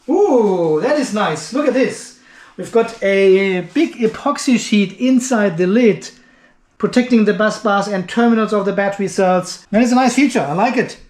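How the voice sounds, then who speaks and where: Man reviewing gear in an echoey room. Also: sits high. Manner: informal, thinking aloud while handling the product. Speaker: video host, product reviewer. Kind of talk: casual unboxing and review commentary. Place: indoor workshop or garage. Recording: roomy, echoing indoor sound.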